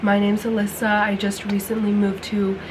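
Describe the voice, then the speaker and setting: A young woman chats to camera in a bubbly, rambling way, her voice hoarse as she gets over losing it. She keeps saying "like" and "you guys". Her pitch sits in the middle, and faint noise hums behind her. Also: level tone, moderate pace. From United States. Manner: chatty, bubbly, heavy use of "like" and "you guys". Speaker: lifestyle vlogger. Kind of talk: casual chat to camera.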